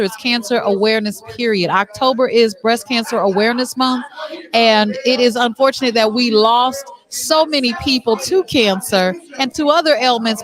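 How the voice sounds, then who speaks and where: Woman, talk show host, higher-pitched voice, animated, chatty, playful, casual on-location interviewing. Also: poor audio. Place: busy venue backstage after a stage play. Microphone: microphone with headphone monitoring.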